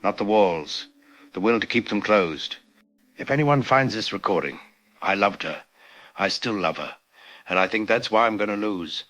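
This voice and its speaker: A man playing a grieving scientist recording a desperate, halting confessional log in an audio drama. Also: on the low side, animated, a bit bright. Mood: resigned, despairing. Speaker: doctor and researcher.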